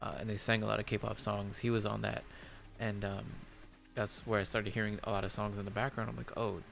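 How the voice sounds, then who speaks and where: A man hosting a reaction video, chatting casually in a rambling, halting way full of fillers and restarts, and hoarse as if losing his voice. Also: on the low side, flat delivery, fairly bright tone, soft background music.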